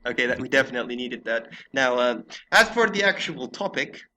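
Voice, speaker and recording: Bright-voiced man hosting a noisy podcast. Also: mid-range voice, lively intonation, a little fast. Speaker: podcast host. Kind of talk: casual podcast chat.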